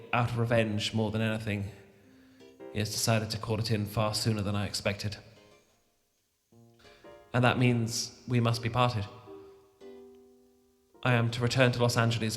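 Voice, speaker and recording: A man with a British accent voicing a devoted young English vampire gentleman who speaks softly, earnestly and formally. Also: on the low side, little pitch movement, moderate pace, long pauses, lightly acted. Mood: tender farewell. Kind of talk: romantic ASMR roleplay, reading a farewell letter. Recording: long reverb with guitar music behind.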